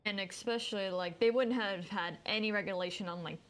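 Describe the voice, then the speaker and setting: Casting: woman game streamer, average pitch, casual read-along delivery with offhand commentary. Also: brisk, fairly bright tone. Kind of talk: reading game dialogue aloud with casual comments.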